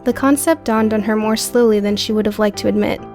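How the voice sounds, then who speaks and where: A woman's voice: medium pitch, steady tone, fairly quick, darkish tone, soft background music. A woman narrating an audiobook story in a scripted, dramatic storytelling style.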